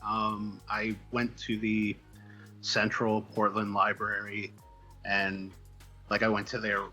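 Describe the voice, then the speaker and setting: A man's voice: fairly low pitch, monotone, takes it slowly, pushed and bright, faint music behind, rough recording. An American man from the Midwest telling stories casually as an interview guest, in a hesitant, discursive way. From Midwestern United States. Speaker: comic book writer, interview guest. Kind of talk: casual conversational storytelling.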